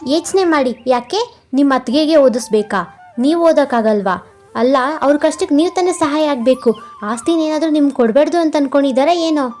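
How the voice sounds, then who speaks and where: Woman voicing a sharp, jealous, high-pitched young wife. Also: a little fast, faint music behind, lightly acted. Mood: jealous, nagging. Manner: sharp, complaining, goading. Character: jealous, nagging, scheming young wife and sister-in-law. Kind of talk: animated moral-story cartoon voicing.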